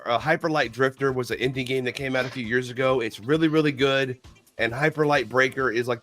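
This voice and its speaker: Brief: male co-host, stuffy head cold, excitable, swears freely, stumbles over words, faint music behind. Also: mid-range voice. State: head cold, losing his voice. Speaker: podcast co-host. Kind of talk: casual livestream chat.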